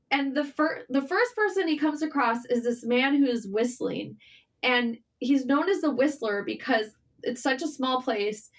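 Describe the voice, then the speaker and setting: A woman speaking as a writer guest in a casual, candid interview, informal and animated in manner. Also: high-pitched. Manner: animated, informal, swearing, self-deprecating.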